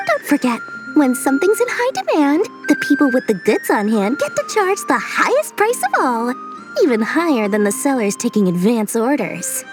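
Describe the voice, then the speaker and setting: A woman's voice: high voice, wildly swooping pitch, normal speed. A woman voicing a small, shrewd, money-loving female merchant in a video game, with a cheeky, theatrical, sing-song delivery. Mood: boastful. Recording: game music behind voice.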